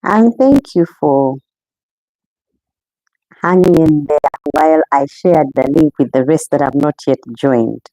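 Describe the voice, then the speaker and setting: Low-voiced woman hosting a live chat. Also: expressive, unhurried, no echo, low-quality recording. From Uganda. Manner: warm welcoming, chatty, talks straight to viewers. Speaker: podcast host. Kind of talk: casual live-stream chat.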